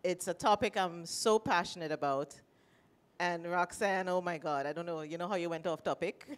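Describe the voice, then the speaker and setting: A middle-aged woman with a Trinidadian accent, sharing a personal story at a panel with animated, emotional delivery, speaking into a handheld mic. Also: lowish voice, normal speed. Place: panel stage in a large indoor room.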